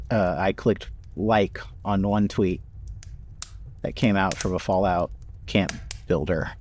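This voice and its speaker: Low-voiced man chatting casually. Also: darkish tone, a little hiss or hum. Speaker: podcast cast member and producer. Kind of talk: casual chat.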